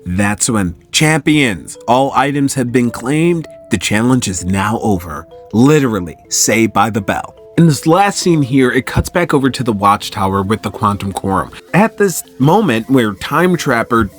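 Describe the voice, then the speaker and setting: Male recap host, casual storytelling, lively swooping pitch, excitable delivery, music underneath. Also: a little slow, few pauses. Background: background music.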